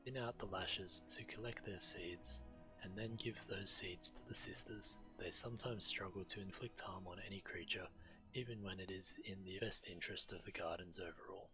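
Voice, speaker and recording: A man's voice: low voice, fairly even intonation, normal speed, bright, forceful tone, low-quality recording. A man streaming a video game, reading the quest text aloud. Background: game music.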